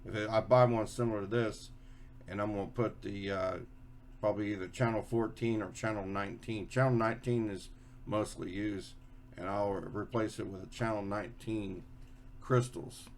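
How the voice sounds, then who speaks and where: A man giving a casual, unscripted hands-on explanation as a hobby video host, halting and often repeating and rephrasing himself. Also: on the low side, fairly even intonation, a little hiss or hum.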